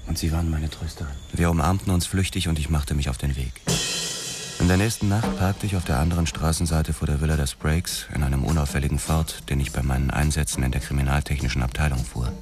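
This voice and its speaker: Very deep-voiced, haunted noir cop. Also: male voice, fairly even intonation, fast. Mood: subdued, intimate. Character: brooding, haunted 1940s Los Angeles police officer narrating. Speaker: narrator and lead actor. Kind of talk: German noir radio drama narration. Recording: music under the voice. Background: music.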